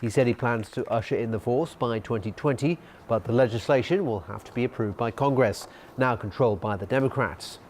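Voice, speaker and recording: A man anchors a news broadcast in a medium-pitched voice. He delivers scripted bulletin copy. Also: a little fast, hardly any pauses, darkish tone, slight background noise.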